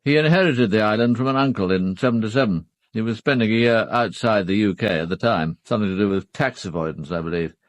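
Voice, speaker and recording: An actor playing a formal, upper-class British knight in a radio drama, speaking in a clipped, matter-of-fact way. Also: male voice, lowish voice, quick pace, hardly any pauses, dry recording, lightly acted. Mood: brisk, businesslike. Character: formal upper-class husband, a titled knight hiring a detective.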